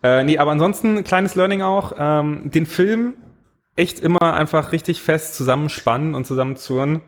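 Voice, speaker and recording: A joking man co-hosting a casual podcast chat about medium-format film. His slightly high voice turns lively when he imitates other people. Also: moderate pace. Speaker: podcast co-host.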